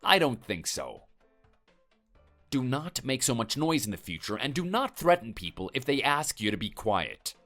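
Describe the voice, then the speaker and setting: A stern, menacing husband, played by a man in a skit, lecturing and threatening his neighbor. His voice swings widely in pitch as he scolds. Faint music plays under him. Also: sing-song, moderate pace, bright, forceful tone.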